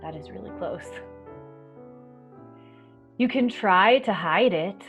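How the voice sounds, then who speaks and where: A woman hosting a children's storytime, reading and explaining in a soft-spoken, reassuring, measured way. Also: mid-range voice, animated, muffled recording, soft background music. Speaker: children's library storytime host. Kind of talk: gentle picture-book reading and explaining to children.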